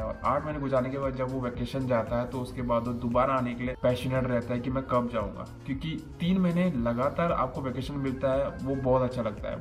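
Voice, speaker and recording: A man in his thirties with a cold, giving chef career advice in a casual vlog, seated in a small indoor room. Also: mid-range voice. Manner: earnest, explains step by step, mixes in English phrases. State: has a cold. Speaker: chef vlogger.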